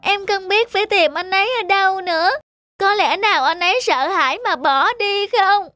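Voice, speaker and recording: A woman's voice: very high voice, moderate pace, sharp tone. A woman voicing an anxious, devoted little sister in a children's cartoon dub, with a fretful, pleading manner. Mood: worried.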